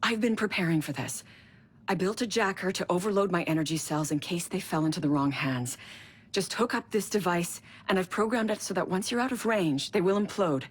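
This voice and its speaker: A woman's voice: lowish voice, animated, fairly quick, husky. An actress playing a determined woman engineer in a video game cutscene, talking urgently and insistently.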